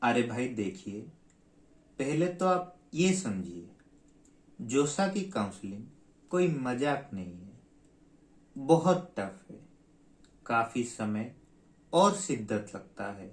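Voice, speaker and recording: A man playing a long-winded helpline agent in a comic skit, formal and polite, with drawn-out pauses, in an echoing room. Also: medium pitch, sing-song. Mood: patient, unhurried. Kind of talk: comic stage skit acting. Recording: roomy, echoing sound.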